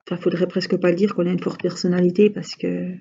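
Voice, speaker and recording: A chatty woman with a Franche-Comté accent talks casually to her viewers, drawing out her letters and filling pauses with hesitations. Her voice is dark, husky and gravelly. The recording sounds dull and lo-fi. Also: fairly low pitch, flat delivery. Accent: Franche-Comté French. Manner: chatty, rambling, frequent hesitations. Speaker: crafting vlogger. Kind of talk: casual chat while crafting.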